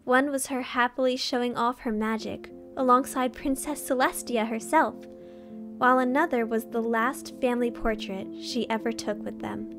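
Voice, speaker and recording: A woman's voice: on the high side, fairly even intonation, normal speed, music in the background. A woman reading a fan fiction story aloud as narrator, in a scripted storytelling style.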